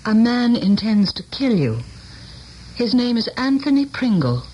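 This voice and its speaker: A woman's voice: a little high, very animated, a little slow, warm tone, lo-fi sound. Composed, cryptic woman, noisy radio. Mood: calm, grave. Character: composed, cryptic woman visitor bringing a grave warning. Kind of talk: 1970s radio drama acting. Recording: noisy old radio broadcast recording.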